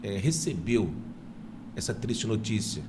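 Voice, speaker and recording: Friendly male podcast host with a mid voice, steady background hum. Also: fairly low pitch, a little slow. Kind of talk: interview hosting.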